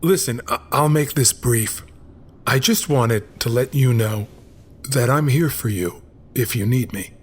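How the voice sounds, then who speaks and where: A man's voice: mid-range voice, unhurried, slightly rough. A man playing a deferential police officer in an audio drama, speaking in an obliging, ingratiating way.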